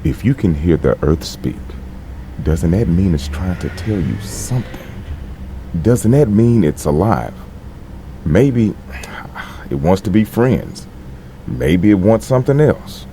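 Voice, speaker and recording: A man acting a gruff but tender veteran with a gravelly voice in an audio drama, speaking gently and haltingly. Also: sits low, very animated, full character voice. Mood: earnest, encouraging. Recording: faint background noise.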